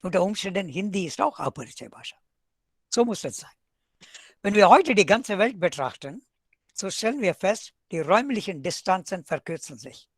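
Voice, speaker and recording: A man's voice: high-pitched, big pitch swings, normal speed, dry recording. A man from South India with an Indian accent and Tamil as his first language, lecturing in a webinar with dry, wry asides. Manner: wry asides, switches between German and English. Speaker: professor speaking at a webinar. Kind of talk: academic talk.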